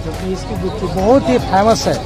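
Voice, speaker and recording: A man's voice: sits high, brisk. A man giving casual market commentary as a vlogger, mixing English words into Hindi. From Jharkhand, India. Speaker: vlogger, host. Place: busy open-air village market. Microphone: handheld phone at arm's length. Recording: outdoor crowd noise with music behind.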